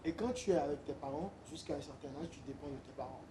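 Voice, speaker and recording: A man's voice: on the high side, fast, background noise. A young man from Benin, a musician guest, talking casually in an interview with many hesitant fillers.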